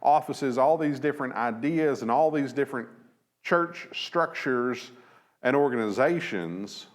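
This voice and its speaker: A man in his thirties teaching a Bible lesson from a church lectern, measured and thoughtful, with frequent fillers and restarts. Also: medium pitch. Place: church auditorium at a lectern, slides behind.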